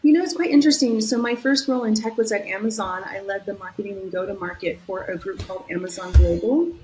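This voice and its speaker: A woman's voice: mid-range voice, fast talker, a little mellow, slight background noise, low-quality recording. Upbeat Californian woman, fluent guest. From San Diego, California. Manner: upbeat, talks in long enthusiastic runs. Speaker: guest executive. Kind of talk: business podcast interview.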